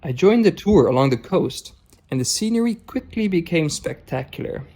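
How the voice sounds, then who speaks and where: Calm Dutch-speaking male travel narrator. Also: on the high side, normal speed. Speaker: travel vlogger. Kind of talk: scripted voice-over narration.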